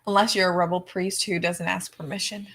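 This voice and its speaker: A woman's voice: fairly low pitch, level tone, a little fast, fairly bright tone. A woman co-hosting a watch party, casually reading out viewer comments in a slangy, easily amused way. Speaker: co-host of a movie watch party.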